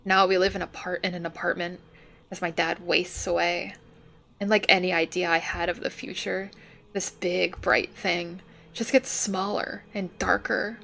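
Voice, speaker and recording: Girl acting as a young woman running her family's hardware store, slow and bitter, gravelly, near tears, music faint behind. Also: lowish voice, little pitch movement, fairly bright tone. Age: young adult. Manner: resentful, tearful, uses like as filler. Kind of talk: dramatic dialogue.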